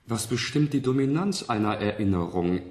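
Actor doing a polite educated guy in an audio play, curious and calm, mid pitch, roomy echo. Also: moderate pace, lightly acted. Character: inquisitive, polite male social scientist as test subject. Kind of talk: radio play acting. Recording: echoey room.

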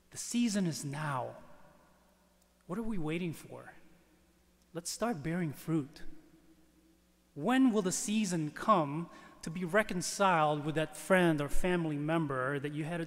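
A man in his forties, a priest reading a homily in a measured, deliberate manner at a lectern microphone in a large church. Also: a little high. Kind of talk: scripted homily read from the pulpit. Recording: long church reverb.

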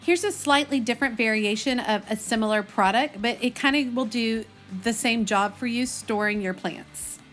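An enthusiastic Texan woman in her thirties with a fairly high voice talks casually to her viewers. She is chatty and folksy, like a friendly vlog host, and music plays along with her. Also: normal speed, few pauses, fairly bright tone. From Texas, United States. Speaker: host of a gardening video channel.

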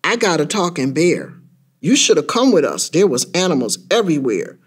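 A man playing an excitable, bewildered young boy in a rhyming dramatic story, speaking in a childlike, insistent way. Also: on the high side, normal speed, slightly rough. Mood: eager, questioning. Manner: childlike, insistent, repeated questions. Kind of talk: dramatic spoken-word storytelling in rhyme.

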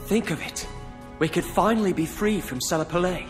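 A man's voice: high voice, normal speed, close to an everyday voice. A man acting a proud, idealistic young lord in a game cutscene, speaking formally. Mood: impassioned. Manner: formal, composed, impassioned about his country. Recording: hall reverb, music behind.

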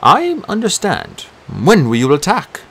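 A man doing a calm calculating schemer. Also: on the high side, creaky, breathy edge, pitched above the performer's own voice, full character voice. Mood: measured. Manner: measured, curious.

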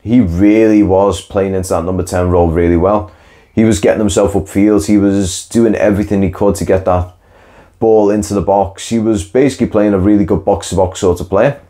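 A man's voice: fairly low pitch, steady tone, brisk, dark tone. A young man hosting a football reaction video, talking in an opinionated, conversational way with the odd sigh, tired after a long day, filmed indoors by a window. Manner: conversational, opinionated, occasional sighs and restarts. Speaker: football channel host. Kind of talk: post-match reaction monologue. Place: indoor room with window onto a marina.

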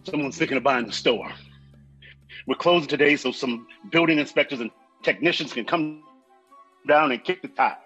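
A man's voice: mid-range voice, moderate pace, pauses often, bright, forceful tone, husky, muffled recording, faint music behind. A man acting a blustering, boastful store manager, blunt and emphatic, in a live comedy script read. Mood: stern, alarmed.

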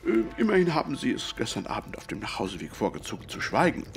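An actor playing a genial, fussy doctor and loyal companion, hesitant and polite, who also narrates an audio drama. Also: male voice, lowish voice, very animated, fast talker.

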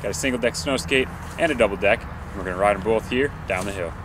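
A man's voice: fairly low pitch, fast talker. A man giving casual commentary for a vlog, recorded on an action camera out on snowy trails. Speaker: vlogger. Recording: loud outdoor action-camera noise.

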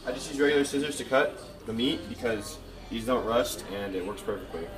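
A man's voice: mid-range voice, a little fast, reverberant, music in the background, low-quality recording. A young man in his twenties chatting casually for a fitness vlog, loose and filler-heavy, recorded on a camera mic in a small home kitchen. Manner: laid-back, filler-heavy talk with many likes and ums. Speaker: fitness vlogger. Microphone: camera mic at arm's length.

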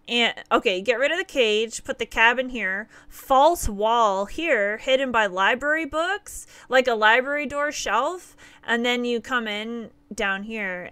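A woman's voice: a little high, sharp tone, clear, resonant tone, no room sound. A woman in her thirties from Nova Scotia with a Nova Scotian accent, chatting upbeat with viewers while streaming a game, laughing often. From Nova Scotia, Canada. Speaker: livestreamer. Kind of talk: casual live gameplay chat with viewers. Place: small indoor room.

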